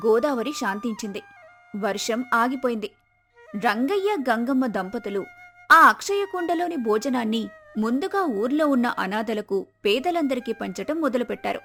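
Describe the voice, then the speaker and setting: Female storyteller, higher-pitched voice, scripted folktale narration with background music. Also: fairly bright tone. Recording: music behind.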